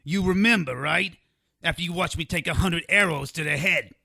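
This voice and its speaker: A man's voice: a little high, normal speed, gravelly, sharp tone, heavily acted. An actor playing a cranky, put-upon male sidekick in a comedic audio drama, mouthy and full of exasperated complaints. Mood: evasive, grumbling.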